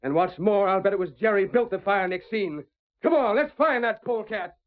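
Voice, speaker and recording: A man's voice: high-pitched, brisk, music in the background, close to an everyday voice. An actor playing a suspicious, grumbling outlaw henchman with folksy American cowboy speech in an old radio western. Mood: riled up. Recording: tinny, band-limited vintage radio recording.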